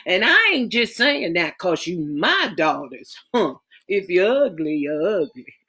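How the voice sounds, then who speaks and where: A woman's voice: lowish voice, big pitch swings, measured pace, fairly bright tone, husky, voice pushed down, exaggerated voice. A woman voicing a stern churchgoing mother of five daughters who scolds in a folksy, commanding way. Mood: proud teasing.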